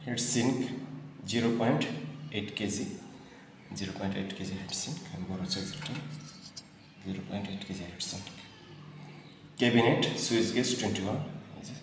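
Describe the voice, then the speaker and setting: Very reverberant room, faint noise, man, lowish pitch, instructional product demonstrator, repeats points for emphasis. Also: brisk, pauses often. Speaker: product presenter. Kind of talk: product demonstration, explaining specifications.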